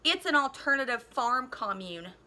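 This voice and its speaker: Sheltered farm boy, thirteen read by a woman: earnest, literal storybook hero, high bright voice. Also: expressive, moderate pace. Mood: defensive.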